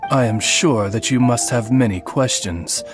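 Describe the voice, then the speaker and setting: A man's voice: medium pitch, a little slow, music in the background, natural voice. A man voicing a talking male raven companion who speaks in a formal, courtly way, in a video game cutscene. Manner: courteous, old-fashioned speech.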